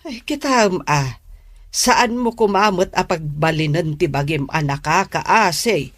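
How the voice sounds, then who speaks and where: A woman's voice: fairly low pitch, expressive, a little slow, a bit bright, dry recording, studio quality. An actress playing a concerned, exasperated middle-aged mother in a Filipino radio drama, lamenting and chiding with a Filipino accent. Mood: worried, chiding. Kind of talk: Philippine radio drama acting.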